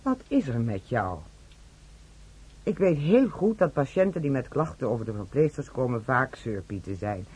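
A stern head nurse from a radio drama. Her husky voice moves very expressively up and down as she reproves firmly, with some concern underneath. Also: very deep voice, very animated, moderate pace, a little mellow, exaggerated voice. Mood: stern, reproving. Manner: stern, admonishing. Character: firm, strict but concerned female head nurse. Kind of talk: radio play acting.

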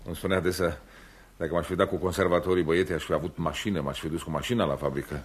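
An actor in a radio play voicing an ambitious, polite young man with an earnest, measured delivery. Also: sits low, steady tone, a little hiss or hum, lightly acted. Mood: reflective. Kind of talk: Romanian radio theatre acting.

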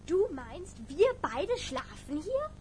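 A woman's voice: very high-pitched, very animated, slow, a little mellow, heavily acted. An actress playing a wilful, bratty adolescent girl in a radio drama, pert, teasing and bossy. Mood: mocking. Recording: clearly noisy ambience behind.

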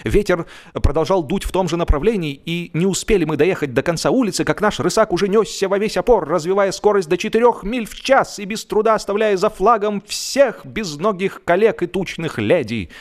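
A man narrating a humorous literary story in an expressive, mock-serious theatrical manner, with deliberate pauses. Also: on the high side. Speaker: audiobook narrator, actor.

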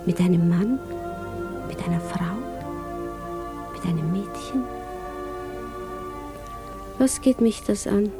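A woman's voice: mid-range voice, slow, long pauses, natural voice. An actress playing a wistful provincial woman longing for a lost love, in 1950s German radio drama style with a dreamy, lingering delivery. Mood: wistful musing. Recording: 1950s radio recording with music behind.

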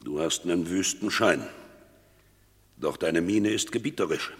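An actor playing a stern, gruff enemy warlord who questions a stranger in a radio play. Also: male voice, low-pitched, a little slow, fairly bright tone, long reverb tail, exaggerated voice.

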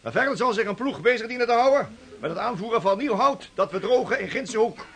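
A man's voice: sits high, animated, a little fast, gravelly, slightly rough, heavily acted. A man acting a stern, suspicious old-time ship's captain in a radio drama, scolding and giving orders. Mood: businesslike. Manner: interrogating, commanding, scolding.

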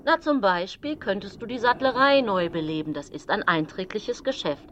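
A woman's voice: medium pitch, expressive, moderate pace, a bit bright, highs cut off, slight background noise, lightly acted. A woman voicing a money-minded, self-pitying old father in a radio drama, insistent and complaining. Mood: nagging. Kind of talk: radio drama character dialogue.

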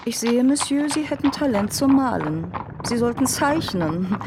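A woman's voice: fairly high pitch, lively intonation, a little slow, noisy background. A woman playing a haughty, condescending society lady in a radio drama, precise and affected, in standard German. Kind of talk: literary radio drama acting.

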